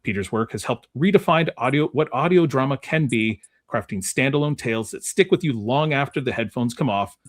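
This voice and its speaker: Animated man hosting a casual podcast. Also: mid-range voice, brisk, few pauses. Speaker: podcast host.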